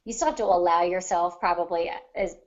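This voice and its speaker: A woman's voice: on the low side, fairly even intonation, a little fast, lo-fi sound. A woman on a podcast panel talking casually and reflectively about her craft, explaining things at length. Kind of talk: casual discussion of writing craft.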